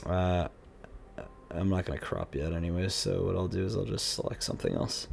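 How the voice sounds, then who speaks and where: A young man with a low, gravelly voice that is going hoarse talks his way through each step of a photo edit. His delivery is casual and chatty, and he greets viewers in between. He speaks into a headset at his home desk, with a faint room hum underneath. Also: moderate pace. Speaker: streamer editing photos. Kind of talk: casual live-stream talk.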